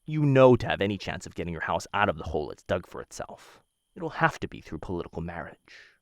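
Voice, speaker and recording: A man playing a smitten, dangerous assassin of a noble house in an intimate boyfriend roleplay, teasing and coaxing with theatrical pauses. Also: deep voice, sing-song, no echo, clean studio sound. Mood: amused, insistent.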